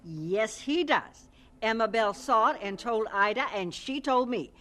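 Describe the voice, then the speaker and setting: An actress playing an excitable, gossipy rural townswoman on the prairie in a radio drama. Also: fairly high pitch, measured pace. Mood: gossipy, marveling.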